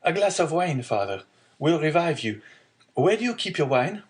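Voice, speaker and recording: A man's voice: on the high side, very animated, normal speed, pitched above the performer's own voice. An eager young seafarer and loving son from a classic storybook, acted out by a man reading aloud. His voice is lifted well above the narration, with an earnest, urgent delivery full of exclamation. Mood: concerned, distressed.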